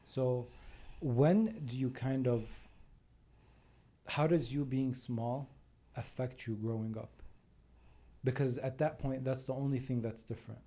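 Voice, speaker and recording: A man's voice: mid-range voice, steady tone, a little slow, warm tone. A man hosting a podcast interview, asking short questions and giving brief acknowledgments. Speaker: podcast host.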